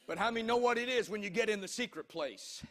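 A man's voice: high-pitched, fast talker, fairly bright tone. A man preaching a sermon as a pastor, emphatic and declamatory, stretching out his phrases for weight. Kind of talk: impassioned sermon.

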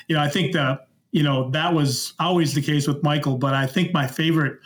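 A middle-aged man, a podcast guest and former security worker, telling casual anecdotes and acting out bits of dialogue. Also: a little high.

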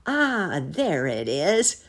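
A woman voicing hungry, greedy, excitable rats in a storybook, exclaiming loudly and gleefully. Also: on the low side, moderate pace, vocal fry, slightly rough, pitched above the performer's own voice, full character voice. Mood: excited.

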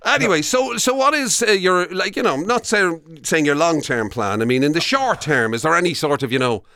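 An Irish man with a slightly high, very lively voice, bantering comically as a radio co-host. The sound is close and dry. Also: fairly quick, no echo.

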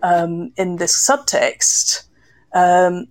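A woman's voice: fairly low pitch, little pitch movement, drawn-out words. A woman composer and sound designer talking reflectively on a panel, thoughtfully searching for her phrasing as she explains her work.

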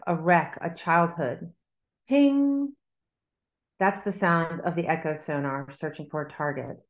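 A woman reading a literary novel aloud as a bold, bossy girl narrator, in measured, declarative sentences. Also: lowish voice, lightly acted.

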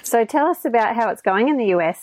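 A woman's voice: fairly high pitch, lively intonation, fast, darkish tone, no room sound. A woman with an Australian accent hosting a casual, chatty interview. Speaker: podcast host. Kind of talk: casual chat.

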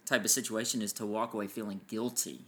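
A man with a loud voice co-hosting a casual parenting podcast, explaining his points with enthusiasm. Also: fairly low pitch, steady tone, fast talker. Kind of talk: casual chat. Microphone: farther from mic than co-host.

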